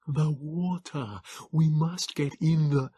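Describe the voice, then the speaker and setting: A small, frail Morlock pleads in slow, gasping syllables, as a male narrator puts him on for an audiobook. His voice sits high and thin, soft and breathy, worn down by wheezing. The recording is close and dry. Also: dry recording. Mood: breathless pleading. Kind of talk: audiobook character voice.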